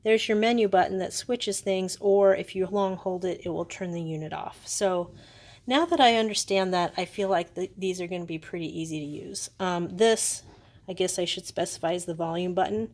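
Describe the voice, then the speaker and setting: A woman's voice: lowish voice, normal speed, a little mellow. A woman giving a casual, hands-on product review, explaining things in a hesitant, unscripted way. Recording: clearly noisy background.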